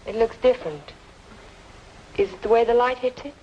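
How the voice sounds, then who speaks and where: An actress playing an earnest, insistent woman in an old film noir drama, speaking emphatically and deliberately. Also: a little high, highs cut off, lightly acted. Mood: probing, concerned. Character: earnest, insistent woman reasoning with a troubled man. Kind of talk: mid-century film noir drama acting. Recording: noisy old film soundtrack, hissy.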